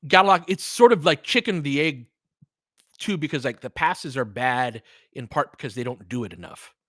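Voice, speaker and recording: Man, mid-pitched, very animated intonation, informal basketball commentary, conversational, opinionated, dry sound. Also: moderate pace, no echo. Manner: conversational, opinionated, mildly profane. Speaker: sports commentator, host. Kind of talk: informal fan play-by-play and analysis commentary.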